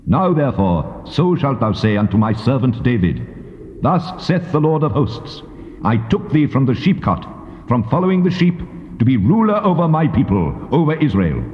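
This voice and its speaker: An actor playing God as a solemn, commanding divine voice, declamatory and stately, in a scripture drama. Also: male voice, medium pitch, very animated, normal speed, lightly acted. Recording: cavernous reverb, muffled tape.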